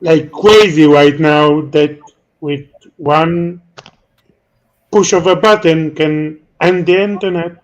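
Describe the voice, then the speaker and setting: A man in a casual chat, speaking haltingly with many fillers. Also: fairly high pitch, steady tone, lo-fi sound.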